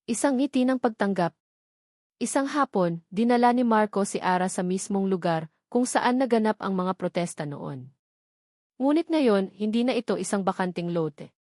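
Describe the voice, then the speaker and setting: A woman narrating a scripted love story as a storyteller, with a measured, earnest, sentimental delivery. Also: mid-range voice, a little mellow, no echo, clean studio sound.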